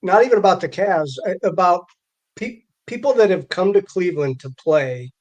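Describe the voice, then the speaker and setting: A man co-hosting a casual sports talk show, speaking haltingly as he repeats words and rephrases. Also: high voice, lively intonation.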